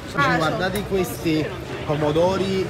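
A man's voice: sits high, steady tone, slow. A man giving an enthusiastic walking tour of an outdoor street market, filmed on a handheld phone. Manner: enthusiastic, exclaims often. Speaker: travel vlogger. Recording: loud crowd and street noise.

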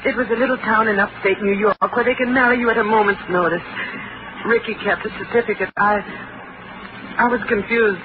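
An actress playing a distraught young American bride, pleading and tremulous, in a 1940s radio drama. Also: female voice, medium pitch, fairly even intonation, brisk, close to an everyday voice. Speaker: passenger. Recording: muffled old radio broadcast with hiss.

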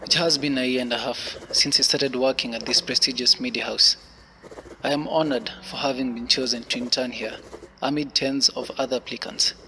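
Radio drama: troubled young Kenyan male intern, played by an actor, sharp mid-pitched voice, slight background noise. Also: steady tone, brisk, poor audio. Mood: despairing. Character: harassed, fearful young male media intern.

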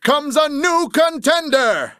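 A man playing a showy TV race-show announcer in a cartoon game, with a theatrical, drawn-out delivery. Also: very high voice, animated, sharp tone, dry recording, studio quality, full character voice. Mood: dramatic.

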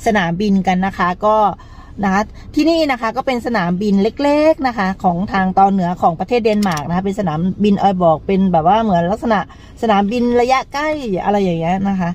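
Female travel vlogger from Thailand, middle-aged, medium-pitched voice, chatty and polite, recorded on a phone with clear background noise. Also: lively intonation, a little fast, darkish tone. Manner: chatty, addresses viewers with frequent polite particles. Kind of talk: casual travel vlog narration to viewers. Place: airport car park and terminal. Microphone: handheld phone at arm's length. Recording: clearly noisy travel recording with vehicle ambience.

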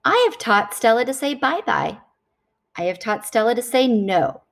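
A woman reading a picture book aloud to children in an expressive, deliberate storytelling style. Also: a little high.

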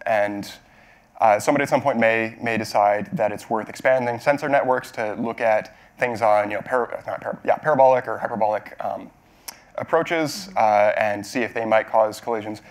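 A man in his thirties answering questions as the speaker at a technical talk, explaining in a halting way with hedges and self-corrections. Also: on the low side. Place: auditorium stage at a podium.

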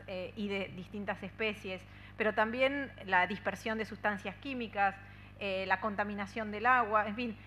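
An Argentine woman in her thirties speaks at a conference table in a clear, bright voice. She talks fluently and earnestly, often pausing on "eh" and restarting phrases. A desk microphone picks her up, with a faint hum underneath. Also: mid-range voice. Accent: Argentine Spanish. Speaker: union policy adviser speaking on a panel. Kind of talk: conference talk. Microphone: desk microphone on a stand.

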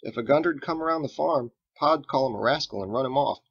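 A man's voice: mid-range voice, fast, gravelly, husky, muffled recording, voice pushed up. A man voicing a young farm boy in a storybook, earnest and wary, with a hesitant, naive, plain-spoken manner.

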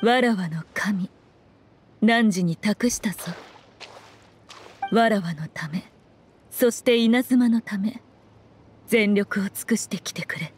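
A woman's voice: medium pitch, takes it slowly, pauses often, a little mellow, slight background noise, close to an everyday voice. A woman playing a sly, regal fox shrine priestess in an anime game, speaking in an archaic, haughty and composed way. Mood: solemn, composed. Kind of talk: anime video game acting. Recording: music behind the voice.

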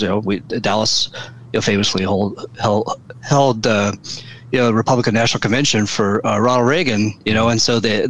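Low-voiced American man, rambling and casual. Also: fairly bright tone, poor audio. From Wyoming, US. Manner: rambling, folksy, peppered with "you know". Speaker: podcast guest, convention organizer. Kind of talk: casual chat.

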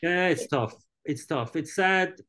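A middle-aged man who was raised in Italy and Switzerland, giving an interview as head of a hotel group over a video call. He speaks haltingly, with many restarts and fillers. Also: on the high side, animated, sharp tone. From born in France, raised in Italy and Switzerland. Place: indoors, room with large painting. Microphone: video call webcam.

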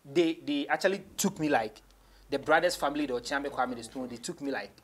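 A man's voice: a little high, a little fast, fairly bright tone. Ghanaian man telling stories casually. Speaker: interview guest, rapper. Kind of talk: casual storytelling in English mixed with Twi. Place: small indoor studio.

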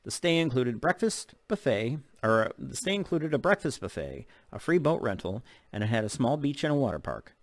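A man's voice: medium pitch, big pitch swings, normal speed, hardly any pauses, no room sound. A middle-aged man reading a novel aloud in an audiobook narration style. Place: open living room at home. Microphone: desk microphone close in front.

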